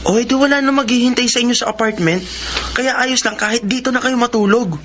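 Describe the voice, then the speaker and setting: A man's voice: very high voice, quick pace. A man with a Filipino accent playing a troubled, respectful young adult son in a radio drama, polite and hesitant. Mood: coaxing. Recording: noisy radio drama track with ambience.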